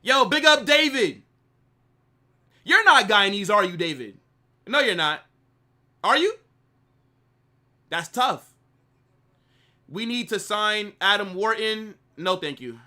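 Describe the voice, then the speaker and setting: Animated man streaming football. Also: high voice, slow pace, pauses often. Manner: animated banter, reading chat aloud. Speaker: live stream host. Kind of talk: casual football watch-along chat.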